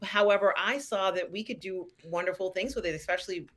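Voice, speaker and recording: A middle-aged woman co-hosting a show, chatting reflectively in a measured, deliberate way with pauses. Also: mid-range voice, a bit bright. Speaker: co-host of a fan live show. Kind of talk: casual reflective chat.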